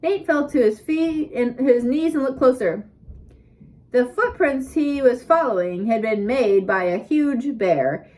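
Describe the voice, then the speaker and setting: A woman reading a scripted story aloud as an audiobook narrator, with expressive emphasis and dramatic pauses. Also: a little high. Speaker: audiobook reader.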